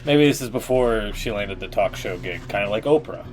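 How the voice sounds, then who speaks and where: A man's voice: on the low side, lively intonation, normal speed, darkish tone. A man gives loose, joking commentary on a live stream, swearing freely as he reacts. Faint music plays under his talk. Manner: profane, joking.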